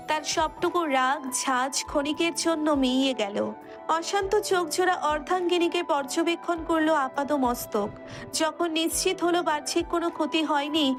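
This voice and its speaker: A woman narrator reading a romance story as dramatic scripted narration. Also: sits high.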